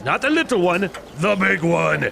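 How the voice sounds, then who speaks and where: A man's voice: high voice, sing-song, moderate pace, gravelly, bright, forceful tone, slight background noise, heavily acted. A man playing an elderly reformed miser in an audio drama, theatrical, exclamatory and giddy with joy. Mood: excited, delighted. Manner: theatrical, exclamatory, bursting into laughter.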